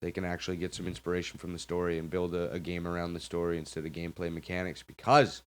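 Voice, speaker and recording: A man with a fairly low voice hosts a casual discussion show. He speaks in blunt, opinionated, conversational phrases and swears freely. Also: little pitch movement, quick pace, clean tone. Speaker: podcast host. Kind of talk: casual chat, opinionated and profane.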